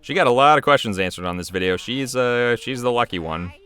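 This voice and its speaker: A man giving casual, rambling, self-critical commentary on his own animated series as its creator. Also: mid-range voice, brisk, clear, resonant tone.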